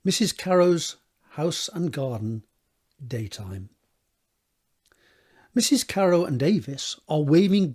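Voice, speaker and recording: Husky male drama narrator. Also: on the high side, darkish tone. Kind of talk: scripted narration of stage directions.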